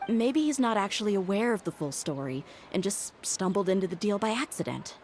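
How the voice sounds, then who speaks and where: A woman's voice: mid-range voice, animated, a little fast, a little mellow, close to an everyday voice. An actress playing a loyal, frank young female bodyguard in a video game, speaking in a composed, earnest way. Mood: uneasy, hesitant. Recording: game music playing behind the voice.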